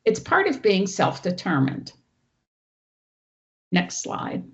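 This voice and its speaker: A woman presenter with a loud teacher voice, giving an online training talk and walking through each slide. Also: medium pitch, huge pitch swings, takes it slowly, dull audio. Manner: explains clearly, walks through each slide. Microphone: online meeting audio.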